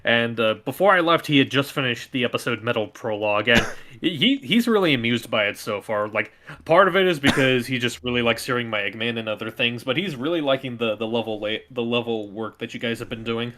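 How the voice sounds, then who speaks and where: Bright-voiced man, joking guest. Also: mid-range voice, a little fast. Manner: upbeat, joking, with many fillers. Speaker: voice actor guest. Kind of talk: casual live-stream chat.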